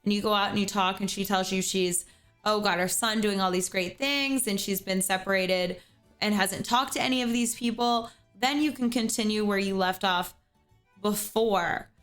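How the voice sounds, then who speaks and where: A woman's voice: mid-range voice, fairly even intonation, normal speed, fairly bright tone. Young woman streamer, earnest advice, casual talk, faint music in the background. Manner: earnest, repeats words as she thinks. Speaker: streamer host giving advice. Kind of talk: casual advice chat on a livestream.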